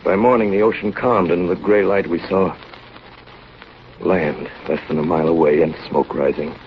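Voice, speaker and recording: An actor in a 1930s radio drama playing a grave naval officer who narrates in a dramatic manner. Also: male voice, sits low, fairly even intonation, moderate pace, close to an everyday voice. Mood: somber. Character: grave naval officer recounting a polar sea disaster. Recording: dull, very noisy 1938 radio recording.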